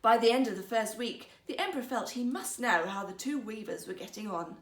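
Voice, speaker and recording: Animated high-voiced woman storyteller. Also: a little fast, roomy sound. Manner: expressive, carefully phrased. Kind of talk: theatrical fairytale narration.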